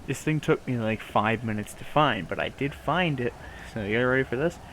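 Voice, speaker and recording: A man's voice: medium pitch, a little fast, fairly bright tone, a little hiss or hum. A man with a nasal voice rambling casually and playfully to his viewers, swearing now and then. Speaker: streamer talking to viewers. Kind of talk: casual rambling chat to camera.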